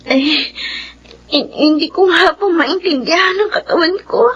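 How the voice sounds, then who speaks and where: Woman as a high-voiced, tearful frightened daughter. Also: measured pace, fairly bright tone, lo-fi sound. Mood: nervous, tearful. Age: young adult. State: crying. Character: frightened, tearful young daughter pleading with her father. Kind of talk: radio drama acting.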